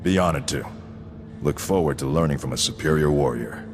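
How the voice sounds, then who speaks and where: A man's voice: extremely low pitch, brisk. An actor playing a stoic, dutiful male veteran sergeant in a video game, speaking in a clipped, formal military way. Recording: reverberant hall, music behind.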